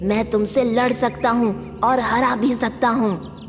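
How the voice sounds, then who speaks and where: Woman voicing a high, echoing, sly skeleton ghost. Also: steady tone, slow, soft background music. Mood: menacing. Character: sly menacing skeleton ghost of a cave, male. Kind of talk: Hindi cartoon story dialogue. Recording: long hall reverb.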